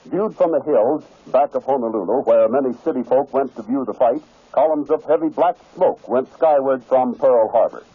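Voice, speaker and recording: An American man reading news bulletins as a 1940s radio announcer, in a formal, measured delivery. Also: mid-range voice, husky. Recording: scratchy 1940s radio broadcast, narrow tinny sound.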